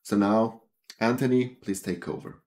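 A man's voice: on the low side, fairly even intonation, a little slow, darkish tone. A man in his fifties with a Swiss German accent, hosting an astrophotography lesson in casual, chatty talk from a small home office on a headset mic. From Switzerland. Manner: chatty, gestures while talking. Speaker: astrophotography channel host.

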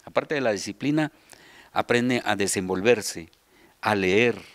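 A middle-aged man with a Guatemalan accent explaining things in an interview, often pausing to find his words. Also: lowish voice, dry recording. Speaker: children's radio theater subdirector and voice announcer. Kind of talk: interview answers, explanatory talk. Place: large hall with seated group, indoors. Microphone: handheld interview microphone.

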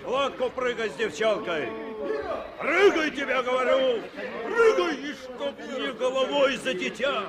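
An urgent Soviet sailor shouts commands in an old radio drama. The voice is pitched very high. A loud crowd roars all around. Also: male voice, animated, measured pace. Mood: urgent, commanding. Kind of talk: 1960s radio drama acting. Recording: loud crowd outdoor din.

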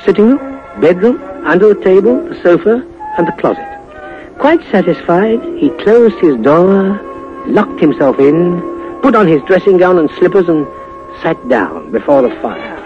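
A man narrating a scripted 1950s radio drama as a suspenseful storyteller with dramatic pauses. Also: high voice, expressive. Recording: muffled 1950s radio recording. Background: music.

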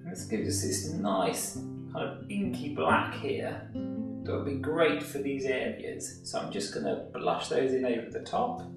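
A man's voice: medium pitch, measured pace, far from the mic. A man with an English accent teaching a painting lesson, explaining each step in an easygoing way as he works. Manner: relaxed explanatory, thinking aloud while painting. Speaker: art tutor. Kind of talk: painting tutorial demonstration. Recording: echoey room, mic set back, soft music.

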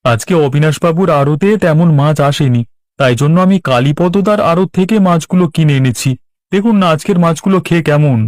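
A man's voice: a little high, brisk, darkish tone, no echo, clean studio sound, close to an everyday voice. A man voicing an eager, deferential village fish seller in a cartoon, with an obliging manner. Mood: apologetic. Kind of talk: Bengali cartoon voice acting.

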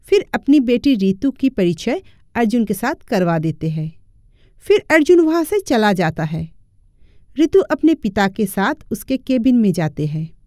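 A woman's voice: a little high, big pitch swings, measured pace, mellow, no room sound, studio quality. A woman narrator delivering scripted narration for a moral story.

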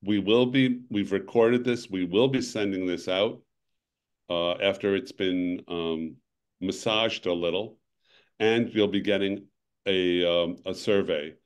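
An older man hosting a webinar over a video call, talking in a halting way that restarts phrases and sounds worn out. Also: deep voice. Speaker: webinar host. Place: home room, indoors.

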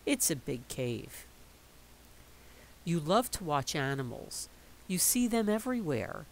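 Slow, expressive woman reading aloud. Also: sits low, slight background noise. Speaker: picture book reader. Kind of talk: read-aloud storytelling. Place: indoors at a table, reading a picture book.

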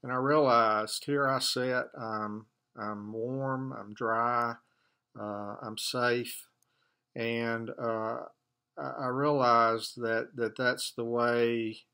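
An older man in his sixties, a church elder, speaks to a desk webcam in a small office. His tone is bright and forward, at an ordinary middle pitch. He talks gently and haltingly, filling pauses with many "uh"s as he shares a devotional and closes with a prayer. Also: fairly even intonation, clean tone. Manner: gentle, hesitant, many fillers. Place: office with wall map, indoors.

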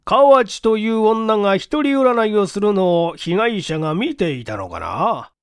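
An authoritative police chief acted by a male reader interrogates in a mystery story. The speech is commanding and old-fashioned, high and very animated, recorded dry. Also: unhurried, smooth, no room sound, exaggerated voice. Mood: startled, puzzled. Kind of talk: dramatic audiobook character acting.

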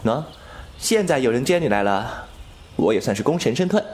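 A man's voice: medium pitch, sing-song, moderate pace, very noisy, natural voice. An actor playing a clever, teasing young swordsman with wounded pride and a flippant, sardonic manner in a Chinese wuxia audio drama. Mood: breezy, teasing. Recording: music under the voice.

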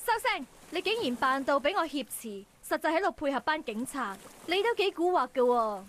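A woman's voice: high voice, big pitch swings, fairly quick, fairly bright tone. A woman voicing a cocky, teasing young lady thief in a visual novel, playful and theatrical, with a Hong Kong Cantonese accent. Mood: mock indignant. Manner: playful, theatrical, mock-villainous. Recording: game voice track over music.

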